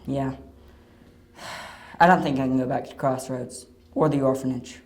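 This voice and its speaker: A man's voice: mid-range voice, fairly even intonation, measured pace, natural voice. Guy acting young boy detective, serious and conflicted, amateur radio play, super roomy far away sound. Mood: downcast. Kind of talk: amateur audio drama acting. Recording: very echoey, distant from the mic.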